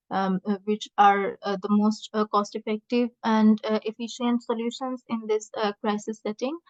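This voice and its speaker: Muffled, halting woman researcher on a call. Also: medium pitch, no echo. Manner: hesitant, halting with many pauses. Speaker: researcher presenting a project. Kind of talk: answering audience questions in an online meeting. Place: online video meeting. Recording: muffled lo-fi online call audio.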